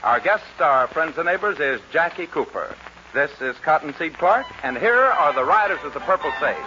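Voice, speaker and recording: A man's voice: a little high, lively intonation, moderate pace. A man announcing and narrating a 1940s western radio show in a folksy showman's delivery. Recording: noisy dull 1940s radio recording.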